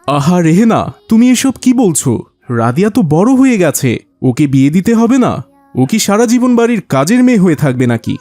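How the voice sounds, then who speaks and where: A man voicing a gentle, reasonable middle-aged father in an Islamic cartoon, explaining things patiently and affectionately. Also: high voice, big pitch swings, fairly quick, dry recording. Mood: protesting.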